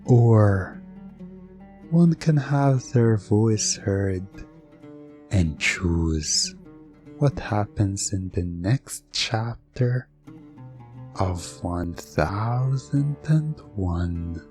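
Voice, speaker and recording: A man narrating a scripted hypnosis ASMR story in a hushed, suggestive way with dramatic pauses. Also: fairly low pitch, lively intonation, soft background music.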